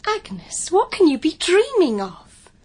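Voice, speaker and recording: Worried victorian big sister, high voice, very up and down, fretful, audio drama. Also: female voice, wildly swooping pitch, slow, darkish tone, exaggerated voice. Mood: alarmed. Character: anxious, dutiful Victorian elder sister, gloomy and practical. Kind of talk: period audio drama acting.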